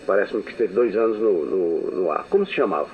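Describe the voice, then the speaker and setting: A man's voice: deep voice, animated, brisk. A man interviewing on the radio, asking courteous, searching questions and repeating words as he gets them out. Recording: tinny archive recording with faint hiss.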